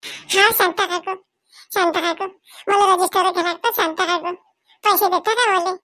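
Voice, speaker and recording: A woman's voice: extremely high pitch, fairly even intonation, moderate pace, sharp tone, no echo, clean studio sound. A woman voicing a young schoolgirl in a comedy cartoon, whiny and insistent as she pleads and complains. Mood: pleading, worried. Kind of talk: Marathi village comedy cartoon dubbing.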